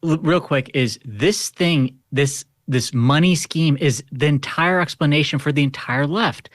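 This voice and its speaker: Blunt higher-voiced American man. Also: unhurried, no echo. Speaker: podcast co-host, guest panelist. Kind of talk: casual political panel commentary.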